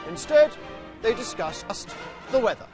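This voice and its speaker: A man narrating a mock public information film in a cheery, deadpan satirical manner. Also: very high-pitched, unhurried. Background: music.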